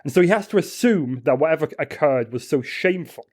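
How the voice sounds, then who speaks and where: A man narrating his character in a tabletop roleplaying game with deliberate, literary wording. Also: on the high side, animated, a little mellow.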